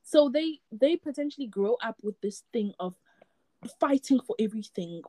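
A woman's voice: on the high side, animated, normal speed, mellow, no room sound. A woman from Africa, reflecting in a casual chat and often repeating words as she searches for them. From South Africa.

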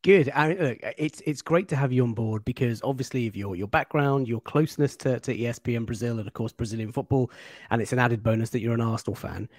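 Measured male football show host. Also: medium pitch, dry recording, clean studio sound. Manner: measured, introducing and asking questions. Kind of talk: presenting and interviewing.

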